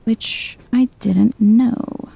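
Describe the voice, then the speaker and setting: Female ASMR let's player: unhurried, chill, soft-spoken, with some creaky fry in a low-lit, relaxed tone. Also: dry recording. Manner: chill, musing to herself. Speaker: video game streamer.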